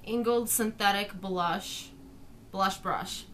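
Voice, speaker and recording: A young woman, slightly hoarse from a sore throat, talks casually through a makeup tutorial. She explains each step as she applies it. The sound comes from a webcam in her bedroom. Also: medium pitch, takes it slowly, a bit bright, slight background noise, low-quality recording. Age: young adult. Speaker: beauty vlogger. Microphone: webcam at desk distance.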